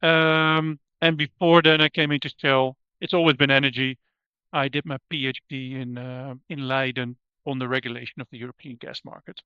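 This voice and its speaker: A man with a Dutch accent, speaking as an energy strategist guest and explaining things in a thoughtful way, with many ums and restarts, often trailing off quietly at the end of a phrase. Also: medium pitch, little pitch movement, normal speed, clean tone, no echo, muffled recording. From Netherlands. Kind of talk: conversational expert explanation.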